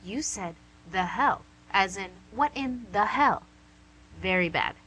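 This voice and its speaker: A woman's voice: medium pitch, animated, takes it slowly, fairly bright tone, husky, heavily acted, low-quality recording. A woman playing a suave female devil boss in an amateur radio comedy, pedantic, condescending and mock-polite. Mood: pedantic, scolding. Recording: amateur radio recording with hum, noise.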